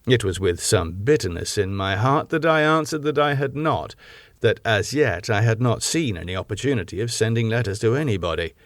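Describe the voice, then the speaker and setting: Low-pitched male audiobook narrator, very dry. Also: expressive, no echo. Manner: measured, formal storytelling. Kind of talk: scripted gothic novel narration.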